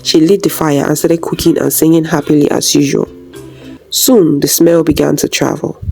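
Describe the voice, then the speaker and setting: A woman's voice: deep voice, steady tone, moderate pace, gravelly, mellow, faint music behind, poor audio. A woman narrating a scripted folktale as a storyteller.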